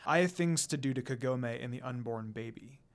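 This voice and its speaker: A man voicing a calm, sinister male demon lord, scheming and smirking in a hushed, menacing way. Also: mid-range voice, moderate pace, pitched below the performer's own voice, close to an everyday voice. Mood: calm command.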